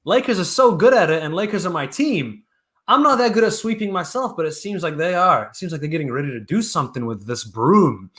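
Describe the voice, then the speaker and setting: Young guy cohosting a hoops podcast, hyped and playful, voice a bit high. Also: fairly quick. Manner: loud, animated, playful hype. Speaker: podcast co-host. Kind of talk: hyped sports banter.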